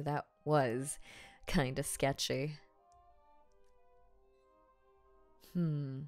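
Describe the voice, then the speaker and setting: Woman acting a slow-talking, doting, possessive rich girlfriend. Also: sits low, darkish tone, full character voice. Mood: teasing, doting. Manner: coaxing, teasing, halting playful asides. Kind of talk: ASMR audio roleplay, spoken straight to the listener.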